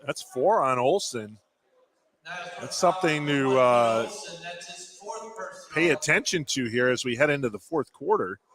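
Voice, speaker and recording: Male color commentator for live game radio, medium pitch, brief analysis, busy gym crowd in the background. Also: animated, a little slow, pauses often. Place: indoor high school gymnasium. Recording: crowd noise behind live broadcast.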